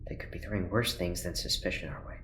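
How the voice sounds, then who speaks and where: An actor playing an earnest, worried scientist doctor in an audio drama, with a careful, clinical manner. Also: male voice, deep voice, fast, fairly bright tone. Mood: guarded, worried. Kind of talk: naturalistic audio drama acting. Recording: roomy, echoing, faint background noise.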